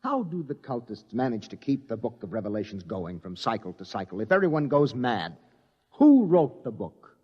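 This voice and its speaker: An actor playing a nervous but dutiful newspaper reporter in a radio drama, hesitant and questioning. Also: male voice, mid-range voice, very animated, moderate pace, slightly rough. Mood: puzzled. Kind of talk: mid-century radio drama acting. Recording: muffled, lo-fi radio drama recording.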